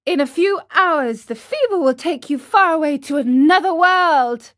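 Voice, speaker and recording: Woman as a patient fairy, slow and high. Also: lively intonation, fairly bright tone. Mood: grave warning. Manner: patient, admonishing. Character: patient, motherly female fairy, gently scolding. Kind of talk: storybook character reading.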